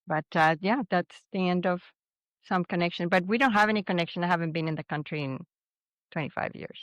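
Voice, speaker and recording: A woman's voice: on the low side, brisk, no echo. A woman in her sixties from Venezuela, a guest in an interview, speaking reflectively with frequent restarts. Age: 60s. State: subdued, grieving a recent loss. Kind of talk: casual interview talk.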